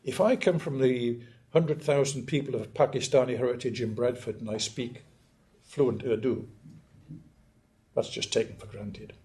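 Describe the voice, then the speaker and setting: An older man from northeast England, giving a measured, emphatic academic lecture and often clearing his throat. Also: mid-range voice, mellow. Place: lecture hall.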